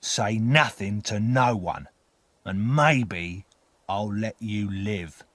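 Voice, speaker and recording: A man voicing a rough, menacing escaped convict in a storybook reading, growling and commanding. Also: fairly low pitch, lively intonation, no room sound, full character voice. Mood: slow, menacing. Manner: forceful, commanding, slow threats.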